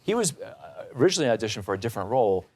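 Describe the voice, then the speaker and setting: A man's voice: on the low side, very animated, very fast, darkish tone, slightly rough. A man hosting a conversational interview, speaking in an enthusiastic, emphatic and affirming way. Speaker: talk show host.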